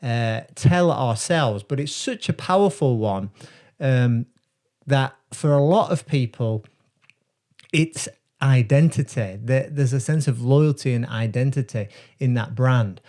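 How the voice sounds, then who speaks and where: A man who works as a brand strategist, talking casually to camera and explaining ideas in a reflective, unhurried way with frequent pauses. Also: medium pitch, a little mellow.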